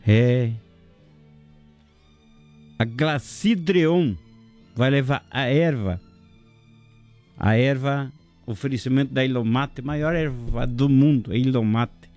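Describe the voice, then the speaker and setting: A chatty man hosting a local radio show. His voice is dark and mellow, with soft music playing faintly behind him. He jokes around and rattles off shout-outs to listeners and sponsors. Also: mid-range voice, takes it slowly, long pauses. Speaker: radio host. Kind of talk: casual local radio chat.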